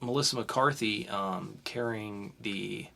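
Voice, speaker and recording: A young man riffs through the week's news as a podcast co-host, his voice bright and forward. He talks loosely, with ums and restarts, and rushes ahead when something excites him. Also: fairly low pitch, vocal fry. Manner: chatty, with fillers and bursts of speed. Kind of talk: casual news chat.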